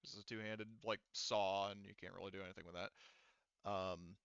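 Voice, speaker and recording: A man acting as game master for a tabletop roleplaying game, narrating casually and haltingly as he thinks through the rules aloud. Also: deep voice, level tone, vocal fry, a bit bright, clean tone, no room sound, lo-fi sound.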